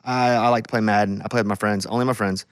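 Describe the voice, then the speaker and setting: A man hosting a podcast in casual chat, talking quickly and pushing back with playful questions. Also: lowish voice, fairly even intonation, clear, resonant tone, dry recording, studio quality. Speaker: podcast host.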